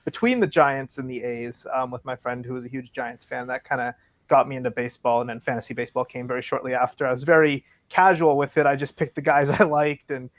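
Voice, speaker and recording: Fast-talking young Canadian man. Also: medium pitch, steady tone, dry recording. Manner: eager, rambling, with light laughs. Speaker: podcast guest, writer. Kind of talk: casual interview answers.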